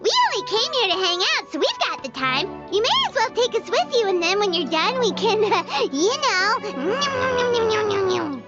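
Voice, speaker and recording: A woman voicing a tiny, excitable, childlike flying fairy with a squeaky voice in a video game. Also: normal speed, few pauses. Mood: eager. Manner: refers to self in third person, exclaiming. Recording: muffled game audio under music.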